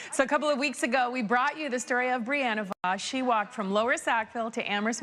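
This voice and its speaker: A woman's voice: mid-range voice, fairly bright tone. A woman anchoring a local evening newscast, reading scripted stories in an upbeat, friendly way. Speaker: news anchor.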